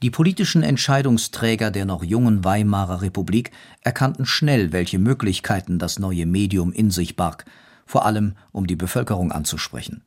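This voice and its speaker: A man narrating a scripted historical account in a measured, matter-of-fact way. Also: low-pitched, a little mellow. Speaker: documentary narrator.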